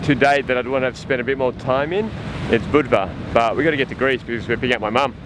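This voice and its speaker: A man hosting a sailing vlog with casual travel commentary, out on a boat. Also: quick pace. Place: outdoors on a boat. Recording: loud wind and engine rumble.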